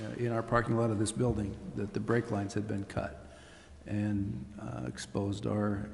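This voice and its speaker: A man, a city official, answering council questions in a halting way with frequent "uh" pauses. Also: fairly low pitch, little pitch movement, vocal fry, mellow, slight background noise. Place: council chamber. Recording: reverberant chamber with faint ambience.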